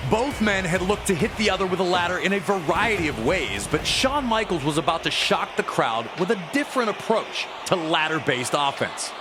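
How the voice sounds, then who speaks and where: A man's voice: sits high, animated, normal speed, few pauses, noisy background. A man delivering scripted, documentary-style sports narration in a dramatic storytelling manner, as a wrestling commentator. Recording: loud music behind the voice. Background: loud music.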